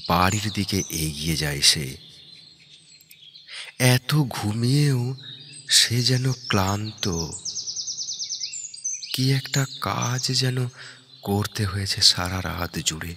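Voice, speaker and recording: A man narrating a horror story in a dramatic, suspenseful way, in short halting phrases. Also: low voice, background noise. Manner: suspenseful, halting short phrases, dropping to hushed breathy tones. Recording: background music under the voice. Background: prominent music.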